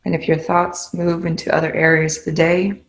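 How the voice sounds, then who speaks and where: A woman leading a guided meditation, speaking in a calm, gently instructive way with unhurried spoken cues. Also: fairly low pitch, poor audio.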